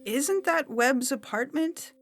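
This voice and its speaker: A woman's voice: sits high, lively intonation, takes it slowly, exaggerated voice. A woman playing a confused, meek old woman, hesitant and deferential, in a science fiction audio story. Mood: bewildered. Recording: music bed under the voice.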